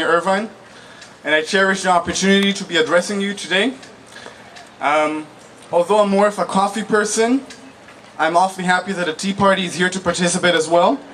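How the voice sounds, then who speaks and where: A man in his twenties from Israel giving a public speech as a guest speaker, deliberate and articulate, phrase by phrase. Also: high-pitched, fairly bright tone. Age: 20s. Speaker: guest speaker, political activist. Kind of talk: public speech, personal testimony. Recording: noisy live event recording.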